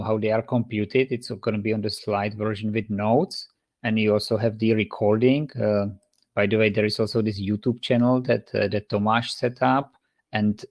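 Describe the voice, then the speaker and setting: A man with a Czech accent giving an online university lecture over a video call, stopping and restarting often with many fillers. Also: fairly low pitch. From Czech Republic.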